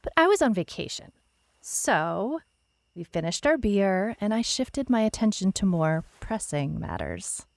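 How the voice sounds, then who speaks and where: A woman playing a wry, world-weary private detective, narrating her case log in a dry, deadpan noir style. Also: mid-range voice, measured pace, gravelly, a little hiss or hum. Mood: dry, matter-of-fact. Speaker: narrator, lead actor.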